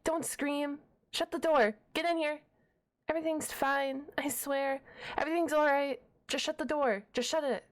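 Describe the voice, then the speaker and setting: A woman's voice: high voice, steady tone, moderate pace, natural voice. A woman playing a shy, nerdy half-dragon librarian in an ASMR roleplay, stammering and wordy, awkwardly defensive and a stickler for rules. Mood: panicked. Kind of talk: ASMR roleplay audio drama.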